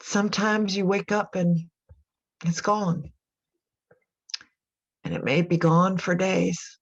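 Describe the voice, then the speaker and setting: An actress playing a troubled, reflective country mother in a radio drama monologue, confiding thoughtfully with pauses. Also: lowish voice, fairly even intonation, highs cut off. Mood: tender, wistful.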